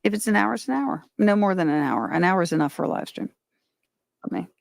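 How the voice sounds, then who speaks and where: A woman's voice: fairly low pitch, expressive, fast, creaky, no room sound, clean studio sound. A woman hosting a casual livestream, talking in a rambling, self-interrupting way. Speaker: livestream host.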